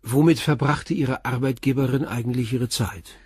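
Guy playing a calm stubborn police commissioner, short probing questions, slightly husky, dry studio sound. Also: medium pitch, moderate pace, dry recording, close to an everyday voice. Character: calm persistent middle-aged police commissioner. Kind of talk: radio drama acting.

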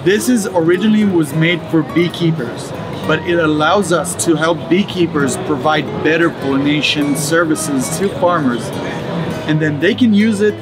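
A man's voice: on the high side, normal speed, music in the background. A man in his thirties, a native Hebrew speaker, explaining his company's product in an interview at a trade show booth, with many fillers and restarts. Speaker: startup executive.

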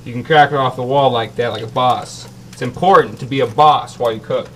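A man's voice: mid-range voice, brisk. A young man in his twenties, brash and boastful, hosting a comedic cooking show from a small kitchen. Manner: brash, boastful, loud whoops.

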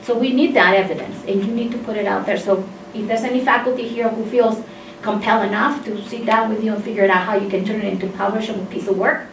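A woman's voice: mid-range voice, fairly even intonation, fast, gravelly. A woman in her fifties from Mexico, an engineering faculty member, making an emphatic, insistent comment from the audience of a seminar, picked up by a distant room mic. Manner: emphatic, insistent, gestures while talking. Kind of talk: impassioned audience comment in discussion. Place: seminar room with tables, indoors. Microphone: far room camera mic. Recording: roomy and distant with faint noise.

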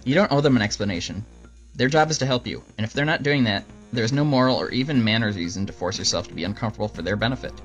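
A man reads scripted answers as a show host at a brisk clip. His delivery is dry and deadpan, with music playing underneath. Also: mid-range voice, dull audio. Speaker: host answering viewer questions. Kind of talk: scripted Q&A narration with deadpan jokes.

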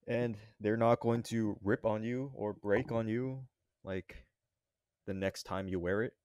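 A man co-hosting a podcast in casual chat, haltingly searching for his words. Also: lowish voice, steady tone, darkish tone, clear, resonant tone, dry recording. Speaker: podcast co-host.

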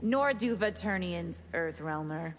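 A woman's voice: on the low side, animated, measured pace. A woman playing a haughty, seductive vampire warrior, teasing her opponent in a fighting video game. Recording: faint game music behind.

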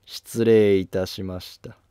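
Man playing slow-spoken, calculating court noble. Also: fairly low pitch, little pitch movement, dark tone, clear, resonant tone, no echo, studio quality. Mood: courteous, humble. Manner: polite, measured, self-assured. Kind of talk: historical drama dialogue.

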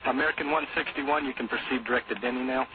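A man's voice: mid-range voice, steady tone, fast talker, narrow, tinny audio. A man working as an air traffic controller, speaking in clipped procedural phrases over radio. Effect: radio transmission.

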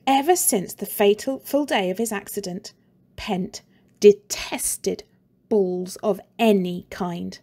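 A woman teacher reads a funny children's story aloud, taking her time over each phrase. Her voice has a gravelly edge, and she plays up the jokes. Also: medium pitch. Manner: slow, expressive, playful.

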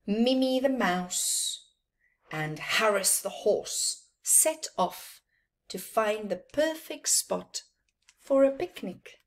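A middle-aged woman reading a children's storybook aloud with expressive, dramatic phrasing and emphasised words. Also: fairly high pitch. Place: small plain room indoors.

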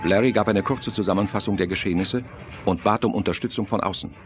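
A man's voice: lowish voice, fast, noisy background. A man giving scripted, dramatic narration for a German thriller audio drama.

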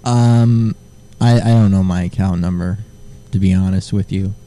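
A man with a very dark, mellow voice placing a prank call. He talks low and deadpan, baiting the person on the line. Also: normal speed. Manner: deadpan, provocative. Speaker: prank caller.

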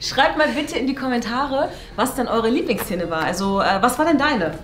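A young woman co-hosts a casual chat with an agreeable, giggly manner. Her voice is fairly high and animated in pitch, recorded in a roomy space with echo. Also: moderate pace, music in the background. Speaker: co-host. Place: small decorated indoor studio set.

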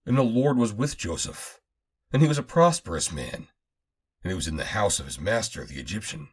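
Deep, husky man reading scripture. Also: big pitch swings, a little fast. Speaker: Bible reader. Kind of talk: scripted scripture reading, solemn archaic narration.

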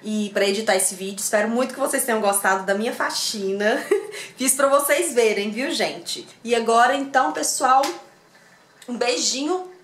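A woman's voice: on the high side, moderate pace, slight background noise. A woman in her thirties with a Brazilian Portuguese accent, chatting to viewers in a casual home vlog while she cleans her kitchen, recorded on a handheld camera. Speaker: vlogger. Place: home kitchen, indoors, medium-sized. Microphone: handheld camera, moved around the room.

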